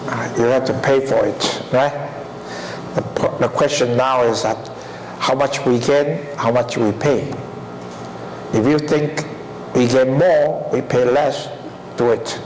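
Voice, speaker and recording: An older man gives a university lecture in a deliberate way, often asking the class rhetorical questions. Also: medium pitch, steady tone, a little mellow, slight background noise, low-quality recording. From Taiwan.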